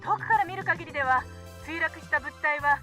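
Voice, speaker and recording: An actress playing a brisk, formal television news reporter in a visual novel. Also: female voice, high voice, sharp tone. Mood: urgent, formal. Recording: music under the voice.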